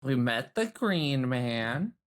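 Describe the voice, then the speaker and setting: A woman's voice: rumbling low, very animated, takes it slowly. A woman chatting casually as a player on a role-playing podcast, with a wry manner. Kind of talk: casual chat.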